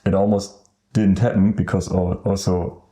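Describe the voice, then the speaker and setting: A young man from Germany with a German accent, co-hosting a casual podcast and talking hesitantly with many pauses. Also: sits low, little pitch movement, warm tone. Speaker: podcast co-host. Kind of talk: casual chat.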